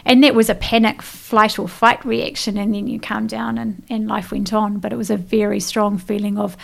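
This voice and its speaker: A woman with a New Zealand accent, a guest in a casual interview, telling animated stories with lots of fillers and laughs. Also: mid-range voice, normal speed, vocal fry.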